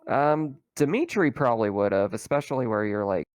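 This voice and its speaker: A man acting as game master, improvising narration for a tabletop roleplaying game in a halting, conversational way. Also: medium pitch, animated, clear, resonant tone, no room sound, studio quality.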